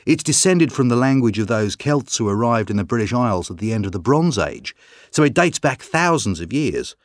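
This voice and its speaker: Male actor as calm articulate writer guest, expressive delivery, dry studio read. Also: medium pitch, normal speed, no room sound, close to an everyday voice. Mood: earnest. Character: man, calm thoughtful writer and broadcaster. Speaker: interview guest. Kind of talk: scripted exam interview.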